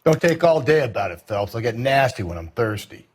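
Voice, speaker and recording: An American man playing a crude, cynical 1940s homicide detective in a crime game, gruff and wisecracking. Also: mid-range voice, very animated, fairly quick, slight background noise, lightly acted. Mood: irritated. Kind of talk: period crime game acting.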